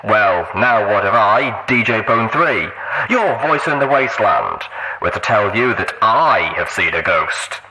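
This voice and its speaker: A man voicing a showy pony radio DJ who proclaims to faithful listeners in drawn-out phrases, in an audiobook. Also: lowish voice, lively intonation, a crowd in the background, rough recording. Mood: showy, teasing.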